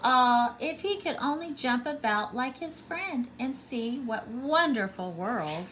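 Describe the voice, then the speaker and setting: High-voiced, unhurried woman teacher reading. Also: a little hiss or hum. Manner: expressive, explains and asks simply for children. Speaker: pre-K teacher. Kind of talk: storybook read-aloud for young children.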